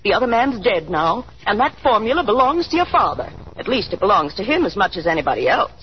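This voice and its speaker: A woman's voice: medium pitch, sing-song, fast talker, lightly acted. An actress playing a middle-aged wife and mother in a 1930s radio drama, insistent, bitter and plaintive as she nags. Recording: dull, noisy 1930s radio recording.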